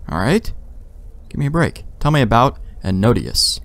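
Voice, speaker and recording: A man's voice: mid-range voice, big pitch swings, moderate pace, a bit bright, dry recording. A man hosting a let's play with casual, sweary, joking commentary, talking back to the game's characters.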